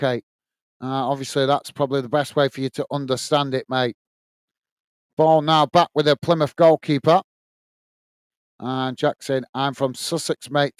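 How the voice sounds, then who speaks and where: Lancashire guy doing a live footy watchalong, bit high voice, says uh a lot reading chat, dry close mic. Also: smooth, dry recording. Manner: chatty, reads out chat messages with filler "uh". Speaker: watch-along host and commentator. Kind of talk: live football commentary and chat reading viewer comments.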